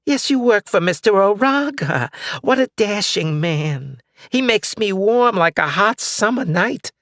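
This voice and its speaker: A man's voice: high voice, expressive, a little slow, fairly bright tone, no room sound, clean studio sound, voice pushed up, exaggerated voice. A man voicing a foul-mouthed, suspicious old woman neighbor who curses and mutters. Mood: bewildered.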